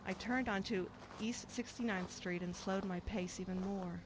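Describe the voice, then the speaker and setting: An actress plays a troubled, wistful middle-aged woman in a radio drama. She speaks earnestly and reflectively at a medium pitch, with clear background noise and ambience around her. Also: normal speed, close to an everyday voice. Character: troubled, wistful woman returning home to her estranged mother. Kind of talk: radio drama acting with first-person narration.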